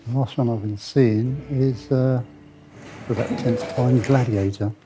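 A man's voice: mid-range voice, fairly even intonation, a little slow, warm tone, soft background music. An older man on a game show, answering in a hesitant, rambling way.

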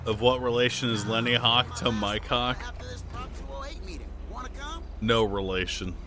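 A man's voice: medium pitch, steady tone, slow pace, noisy background. A man streaming a role-play game, chatting casually, laughing a lot and swearing offhandedly. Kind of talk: casual gaming stream commentary.

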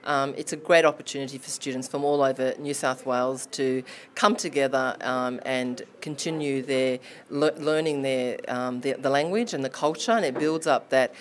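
Low-voiced woman, halting with ums. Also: fairly even intonation, slight background noise. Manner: hesitant, many ums and restarts. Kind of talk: interview answers. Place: classroom indoors. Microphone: handheld lapel mic held near chest.

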